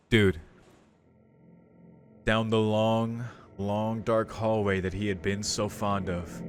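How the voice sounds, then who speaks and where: A man's voice: on the low side, dry recording. A man playing through a visual novel, reading its text aloud dramatically and reacting in casual, slangy speech. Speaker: video game streamer doing a playthrough. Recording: music behind the voice. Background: game music.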